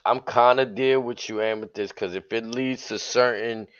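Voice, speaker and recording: A man co-hosting a casual wrestling talk stream, his voice a bit hoarse and raspy from yelling, with relaxed slangy banter. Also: medium pitch, moderate pace, no echo. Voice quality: slightly raspy. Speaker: wrestling show co-host. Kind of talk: casual livestream chat. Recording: dull dry lo-fi stream audio.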